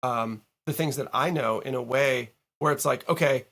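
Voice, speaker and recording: A man who is a guest producer, chatting casually on a podcast, slightly hoarse, animated and swearing as he tells stories. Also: mid-range voice, normal speed. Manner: animated, swearing, mock-quoting people.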